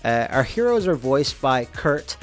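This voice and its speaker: Male narrator, mid-pitched, informal game-developer explainer, conversational delivery, music bed underneath. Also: very animated, measured pace. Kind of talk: informal scripted narration of a behind-the-scenes explainer.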